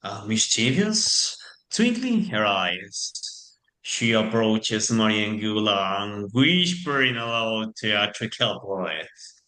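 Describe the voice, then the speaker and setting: Bright, theatrical male narrator, slow. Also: on the low side, sing-song, low-quality recording.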